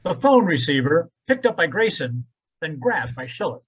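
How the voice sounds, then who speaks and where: A man's voice: on the high side, big pitch swings, normal speed, a bit bright, breathy edge, lo-fi sound. A man narrating an old-time radio drama in a dramatic, scripted style.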